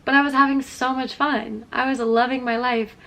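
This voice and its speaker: A woman's voice: high voice, little pitch movement, normal speed, fairly bright tone. A young woman with a stuffy, sniffly nose, talking candidly and reflectively to her camera in a casual vlog. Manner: candid, self-questioning, trailing off. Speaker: vlogger.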